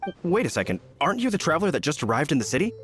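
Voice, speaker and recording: A man's voice: fairly high pitch, big pitch swings, very fast, soft background music. A man playing an earnest, courteous young knight in a video game, speaking formally and politely with eager emphasis. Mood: puzzled, courteous.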